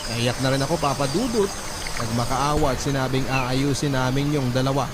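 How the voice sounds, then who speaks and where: A man's voice: mid-range voice, normal speed, background noise. A man reading a listener's story aloud as dramatic scripted narration. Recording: loud music under the voice.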